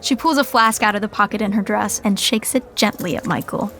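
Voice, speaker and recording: A woman narrating a scripted sitcom podcast with wry, deadpan asides. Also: mid-range voice, brisk, faint music behind.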